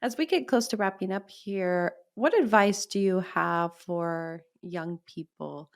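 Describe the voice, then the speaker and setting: A woman's voice: on the low side, slow, creaky. A woman hosting a podcast, asking interview questions in a friendly, encouraging way. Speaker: podcast host.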